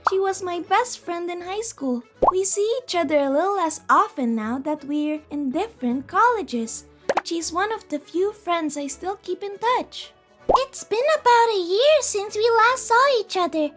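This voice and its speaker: A woman acts a polite young college student telling her tale in a manga drama. Her voice is extremely high, almost childlike, and she takes her time over each phrase. Music plays clearly behind her. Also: expressive, a little slow, fairly bright tone, full character voice. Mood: narrating. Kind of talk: scripted narration and dialogue for a manga story video.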